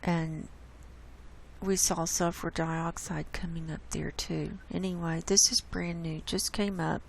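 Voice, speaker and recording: A hoarse woman hosting her own channel, walking casually through earthquake maps on screen and pointing out each spot with an unhurried, gravelly delivery. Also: lowish voice, level tone, a little hiss or hum. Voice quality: gravelly, coughs and clears throat. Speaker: online science channel host. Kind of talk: casual screen-share data report. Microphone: desk mic.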